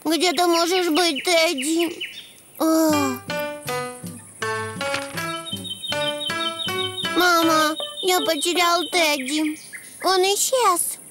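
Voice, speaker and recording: A woman's voice: very high voice, steady tone, loud music behind the voice. A woman voicing a cheerful, earnest little boy donkey in a preschool cartoon, exclaiming in a childlike way. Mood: worried, searching. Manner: childlike, exclaiming, slowly drawn-out words.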